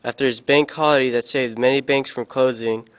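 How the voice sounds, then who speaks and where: A young man reading a school-project news report in a flat, even way. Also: medium pitch, brisk, clear, resonant tone. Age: teens.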